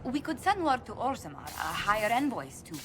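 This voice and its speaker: High, animated, eager adviser. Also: female voice, normal speed, heavy background noise. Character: eager, anxious woman adviser. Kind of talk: dramatic fantasy game acting. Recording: loud music behind the voice.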